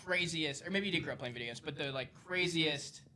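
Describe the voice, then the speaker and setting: A man's voice: on the high side, fast talker, crowd noise. A young man chatting casually as an interviewer, speaking off the cuff. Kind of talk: casual chat.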